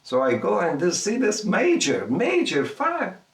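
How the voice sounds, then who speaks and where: Older male artist from Egypt, unhurried and halting, doubling back on his words; recorded in a roomy space with the mic at a distance. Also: high-pitched, huge pitch swings, creaky. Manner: halting, repeats words, thinking aloud. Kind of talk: reflective documentary interview.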